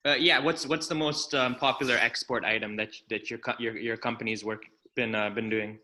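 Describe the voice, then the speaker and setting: A man's voice: medium pitch, steady tone, a little fast, sharp tone. A man hosting a podcast interview, asking questions with frequent stammers and repeated words. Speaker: podcast host.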